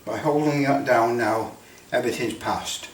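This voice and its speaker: British male, casual demonstrator, halting thinking-aloud delivery, echoey room, faint background noise. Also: medium pitch. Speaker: presenter demonstrating electrical testing. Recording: roomy, distant mic with background noise.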